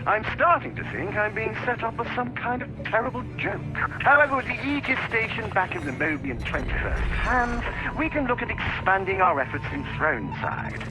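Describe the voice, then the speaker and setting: A man playing a smug, sly spymaster in a video game, dry, condescending and quietly menacing. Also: sits high, poor audio.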